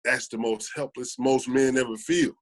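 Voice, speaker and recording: A man's voice: medium pitch, fairly even intonation, normal speed. An American man in his thirties talking casually and earnestly as a podcast guest, often repeating phrases for emphasis. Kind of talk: casual conversational storytelling.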